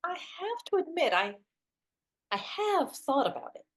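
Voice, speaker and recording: Actress playing awkward young romantic woman, very lively pitch. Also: a little slow, heavily acted. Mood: awkward, hesitant. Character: awkward, literal-minded young woman, goofy hopeless romantic. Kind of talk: two-hander stage play reading.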